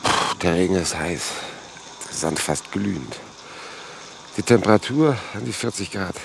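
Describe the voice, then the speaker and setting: A dutiful official dictating a log in a vintage radio drama. The voice is very low and gravelly, reporting in terse, sober, clipped phrases. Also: male voice, lively intonation, measured pace, heavily acted. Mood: sober, observant. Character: dutiful male official narrating his recorded mission log. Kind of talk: 1950s German radio play narration.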